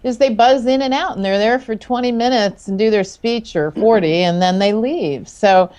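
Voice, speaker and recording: A woman's voice: a little high, moderate pace, a little mellow. A woman panelist talking casually and with strong opinions in a group discussion, outspoken and wry.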